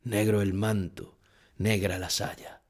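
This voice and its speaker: Mournful aging retired bullfighter, low-voiced. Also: male voice, flat delivery, slow pace, darkish tone. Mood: mournful, reflective. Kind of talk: dramatic first-person monologue in an audio drama.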